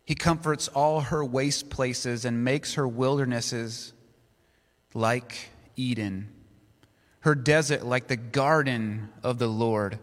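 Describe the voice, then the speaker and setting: A man preaching a sermon, reading scripture aloud in a slow, deliberate way. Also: mid-range voice. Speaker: pastor. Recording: long reverb of a large hall.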